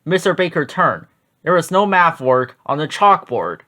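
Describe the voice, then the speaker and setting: A man's voice: fairly high pitch, sing-song, moderate pace, sharp tone. A man narrating a children's storybook as a read-aloud.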